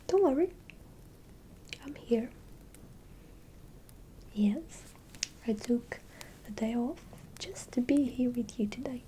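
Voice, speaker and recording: Lady playing a sweet caring village friend in fantasy asmr, slow soft whispering, kinda hesitant, bit of background noise. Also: a little high, lively intonation. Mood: soothing, caring. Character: tender, doting female Shire friend welcoming a hero home.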